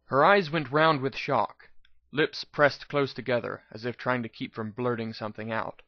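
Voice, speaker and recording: A man's voice: mid-range voice, fairly even intonation, normal speed, highs cut off. A man reading a first-person story aloud as its narrator, in a dramatic reading style. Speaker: narrator, reader.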